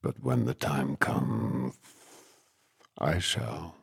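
A man voicing a sinister hooded sorcerer in a storybook, with a gravelly, breathy near-whisper and a cold, drawn-out menace. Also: extremely low pitch, no echo, clean studio sound, pitched below the performer's own voice, full character voice. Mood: menacing.

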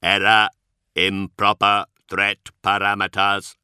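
A harsh, atonal synthetic voice of a male tech priest, as a man reads him, slow and clipped, every word carefully spaced. It is set in a dry, close audiobook recording with a bright edge. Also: lowish voice, completely flat delivery, smooth, no room sound, exaggerated voice. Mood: measured. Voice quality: harsh, atonal, strangely inflected. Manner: slow, clipped, precise delivery.

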